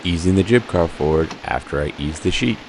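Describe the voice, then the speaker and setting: A man narrating his solo sailing trip in a measured scripted voice-over, with dry wry asides. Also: low-pitched, expressive, creaky, heavy background noise. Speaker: solo sailor and channel host.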